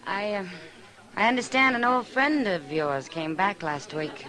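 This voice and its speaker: A woman's voice: medium pitch, measured pace, pushed and bright, poor audio. An American woman playing a knowing, familiar frontier saloon woman in a 1950s radio western. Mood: knowing, teasing. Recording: old radio, crowd chatter behind.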